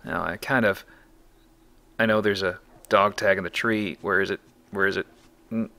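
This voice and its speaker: Guy doing wry casual game commentary, lowish gravelly voice with a sharp edge. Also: steady tone, moderate pace. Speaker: gamer, let's play host.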